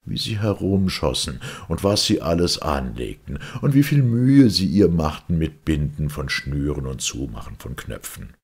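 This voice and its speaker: A man's voice: rumbling low, big pitch swings, moderate pace, hardly any pauses, a little mellow, breathy edge. A man reading a scripted storybook narration as an audiobook reader.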